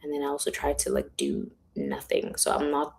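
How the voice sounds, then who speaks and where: A young woman chatting casually on a livestream, rambling and giggly, her voice a little hoarse and lower than usual. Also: big pitch swings, moderate pace, a little mellow. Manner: chatty, rambling, giggly, uses filler words a lot. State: voice going hoarse. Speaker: author hosting a livestream. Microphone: phone or webcam in a livestream.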